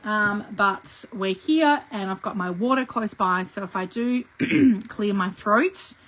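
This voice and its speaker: A middle-aged woman leads a live scrapbooking lesson, talking through each step in a chatty, friendly way. Her voice is croaky from a cough that hasn't gone away, and she clears her throat now and then. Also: mid-range voice, animated, slow pace, fairly bright tone, rough recording. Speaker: scrapbooking instructor. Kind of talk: live craft tutorial.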